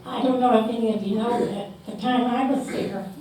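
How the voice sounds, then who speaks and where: An older woman shares memories in an informal way. Her tone is dark and mellow, and it reaches the mic from a distance. The room rings heavily around her. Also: fairly high pitch, steady tone, fairly quick. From Corona, California. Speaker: panel speaker sharing memories. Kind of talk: informal reminiscence. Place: community room at a public panel event. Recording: very echoey room, distant mic, faint noise.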